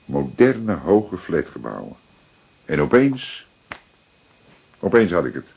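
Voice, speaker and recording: Older Dutch detective, radio-play style, deep, markedly husky, animated intonation, deliberate, secretive. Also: male voice, muffled recording, full character voice. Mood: reflective, explanatory. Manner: deliberate, emphatic, repeating words for effect. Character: seasoned male police detective, paternal, methodical, secretive. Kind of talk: dramatic detective dialogue acting.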